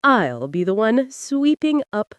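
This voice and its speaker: Give the part of a haughty, cold noblewoman performed by a female narrator in a fantasy audiobook. She drawls with scorn and quiet menace, her lines swinging in pitch, close and dry. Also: sing-song, takes it slowly, smooth, no echo. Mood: smug, menacing.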